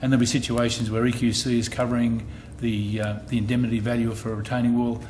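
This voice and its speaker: A middle-aged Australian man addresses a meeting in a measured way, often stopping to restart a phrase. His pitch sits in the middle range. The recording carries a clear layer of background noise. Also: level tone, darkish tone. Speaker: insurance company executive. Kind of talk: presentation at a public meeting. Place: meeting hall. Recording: noisy meeting room recording.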